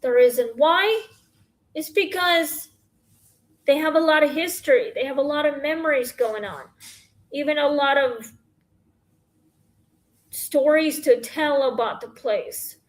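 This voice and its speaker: A woman with a high, scratchy voice talks slowly into her phone. She rambles through questions in a casual, halting way, full of pauses and fillers. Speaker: podcast host. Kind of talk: casual Q&A chat.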